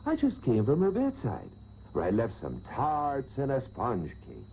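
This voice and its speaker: A man's voice: medium pitch, wildly swooping pitch, a little slow, slight background noise. A man playing a smug, sweet-talking suitor in a radio soap parody, cooing melodramatically. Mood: smarmy. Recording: muffled, humming old radio tape.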